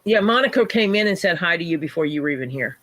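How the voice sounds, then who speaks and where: A woman's voice: lowish voice, expressive, fast talker, a bit bright, no echo. Woman host, scratchy sick voice, chatty livestream talk, dry close mic. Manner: chatty, greets viewers by name mid-story. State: scratchy voice from illness. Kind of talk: casual livestream chat.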